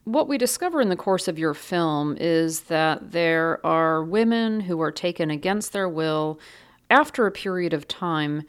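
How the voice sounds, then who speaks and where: A woman hosting a radio interview show, asking measured, probing questions. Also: sits low, expressive, clean tone. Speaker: podcast host.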